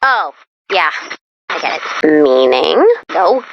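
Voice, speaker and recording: A woman playing a chipper, nerdy robot helper in a video game, speaking in an eager, quirky, expressive way. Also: low voice, slow, dull audio, a little hiss or hum, exaggerated voice. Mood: puzzled, deflated. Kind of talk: video game character voice lines.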